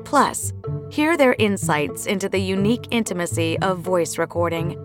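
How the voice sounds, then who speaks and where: A woman podcast host reading a scripted episode introduction in a smooth, even announcer delivery. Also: fairly low pitch, normal speed. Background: music bed.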